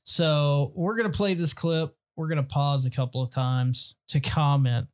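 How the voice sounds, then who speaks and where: A man's voice: on the high side, steady tone, unhurried. A man co-hosting a casual comedy podcast, joking and rambling with restarts. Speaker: podcast co-host.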